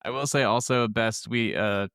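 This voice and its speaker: A man's voice: lowish voice, normal speed, a bit bright, clear, resonant tone, no echo, studio quality. A man co-hosting a podcast, talking casually and informally about games. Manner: conversational, informal. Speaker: podcast co-host. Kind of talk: casual chat, game review.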